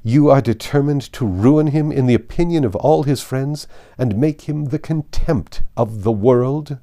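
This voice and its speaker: A man's voice: mid-range voice, measured pace, mellow, voice pushed up, close to an everyday voice. A man voicing a haughty, indignant older aristocratic lady in a formal, emphatic audiobook reading. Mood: outraged, menacing.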